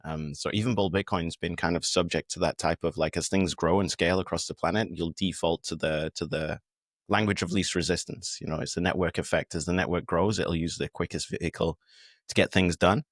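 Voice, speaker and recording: A British man with a very deep, low voice talks through his ideas. He speaks reflectively, with an easy manner and occasional fillers. The sound is dry and close to the mic. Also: fairly even intonation, brisk, dry recording. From United Kingdom. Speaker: podcast guest. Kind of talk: casual conversation.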